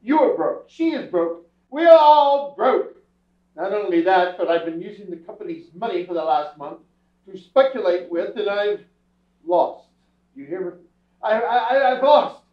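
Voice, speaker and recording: A blustery, exasperated head of a rich household in an old radio comedy, played by a man. He is curt and emphatic in a high-pitched voice, recorded from far off in an echoey hall. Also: animated, measured pace. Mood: exasperated, gloomy. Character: blustery, exasperated, broke rich family father. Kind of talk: staged old-time radio comedy acting. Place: indoor theatre stage, distant camera. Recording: distant, echoey, dull theatre stage recording.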